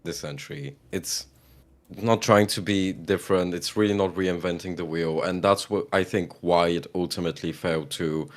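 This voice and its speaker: A man from Ukraine with a very low-pitched voice. He is a guest on a live stream, giving his opinions in casual, conversational commentary. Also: steady tone, normal speed.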